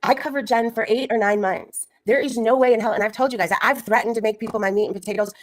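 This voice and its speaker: A woman's voice: on the high side, fairly even intonation, fast talker, dry recording. A woman in her fifties co-hosting a livestream, lecturing indignantly and repeating herself for emphasis. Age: 50s. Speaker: livestream co-host. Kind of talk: casual livestream chat.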